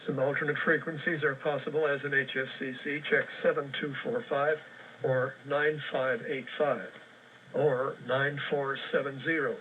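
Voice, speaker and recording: A man hosting a shortwave radio news show, reading listener reports and frequency lists in a brisk, matter-of-fact way with dry sarcasm. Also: mid-range voice, little pitch movement, narrow, tinny audio. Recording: distant, faintly noisy shortwave radio relay.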